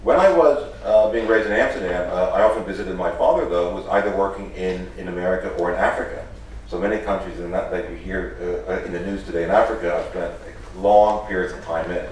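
A man's voice: low voice, a little mellow, slight background noise. A middle-aged man raised in the Netherlands gives a formal lecture as a professor, speaking earnestly with many restarts. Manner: earnest, halting with frequent restarts. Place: large lecture hall with audience. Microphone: podium microphone. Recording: echoey hall, picked up from a distance.

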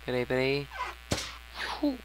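A Brazilian man chats casually over his gameplay in a medium-pitched voice. He talks in slang and often mutters to himself. The home recording carries a noticeable electrical hum. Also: big pitch swings, takes it slowly. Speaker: gaming YouTuber.

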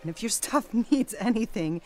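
An actress playing a feisty, protective 1940s American wife in an audio drama, blunt, salty and dry. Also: female voice, fairly high pitch, expressive, moderate pace, dark tone, faint music behind, lightly acted. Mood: indignant, protective. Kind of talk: naturalistic period radio drama.

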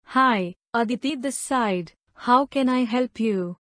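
A woman's voice: a little high, slow pace, fairly bright tone. A woman playing a polite young hospital nurse in a scripted demo. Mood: polite, helpful. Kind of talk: scripted demo role-play.

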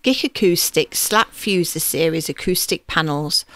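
A woman reads scripted news in a low voice. There is a noticeable creak in it, and she delivers it as a voice-over narration. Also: measured pace, a bit bright, dry recording. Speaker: news reader.

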